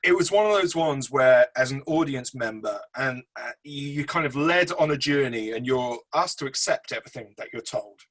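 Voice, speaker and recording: Slightly husky, enthusiastic man chatting. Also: mid-range voice, lively intonation, moderate pace, hardly any pauses, fairly bright tone, rough recording. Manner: chummy, slangy, enthusiastic. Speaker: film review show host. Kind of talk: casual film review chat.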